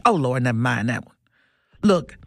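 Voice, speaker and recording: A woman's voice: rumbling low, lively intonation, moderate pace, creaky, dry recording, clean studio sound, full character voice. Commanding, indignant activist woman. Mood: insistent. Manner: insistent, declamatory, interrogating. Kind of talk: radio drama acting.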